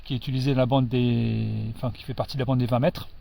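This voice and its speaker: A man giving an informal hands-on equipment demonstration as an amateur radio operator, explaining things hesitantly and pausing to find his words. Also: mid-range voice, little pitch movement, creaky, warm tone.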